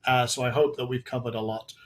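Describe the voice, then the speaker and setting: A man hosting a live software webinar, talking casually through on-screen steps with frequent restarts while his voice goes hoarse. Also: medium pitch, little pitch movement, quick pace. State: voice going hoarse. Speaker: webinar host.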